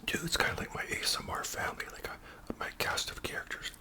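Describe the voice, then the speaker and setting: A man with a Canadian accent speaks in a breathy whisper, chatting casually and unhurriedly in ASMR style as host. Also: quick pace. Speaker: ASMR video creator and host.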